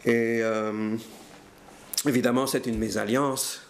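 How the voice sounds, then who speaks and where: An older man recounting his family's history in a hesitant, halting way, with many fillers and restarts. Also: mid-range voice, steady tone, creaky, a little hiss or hum. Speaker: interviewee telling family history. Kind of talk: hesitant spoken recollection.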